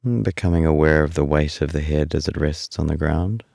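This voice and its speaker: A man with a remarkably deep voice leads a guided meditation. His tone is dark and relaxed with a gravelly fry, and he speaks soothingly with long, unhurried pauses. The sound is close and dry. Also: no echo. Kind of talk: guided body scan meditation.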